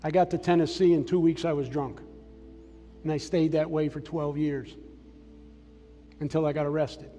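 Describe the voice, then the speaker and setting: An older man delivers an earnest, plainspoken sermon from a church stage, his voice a little higher than most men's but mellow and soft in tone. A long reverb from the sanctuary trails his words, and faint music sits underneath. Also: fairly even intonation, moderate pace, long pauses, muffled recording. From Massachusetts. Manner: earnest testimony, personal and plainspoken. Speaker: preacher.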